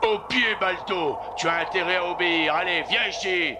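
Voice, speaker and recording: A man playing a gruff, hearty, bossy dog-sled driver with a gravelly voice in a French cartoon dub, noise behind. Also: high-pitched, moderate pace, natural voice. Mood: exasperated, commanding. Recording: clear background noise.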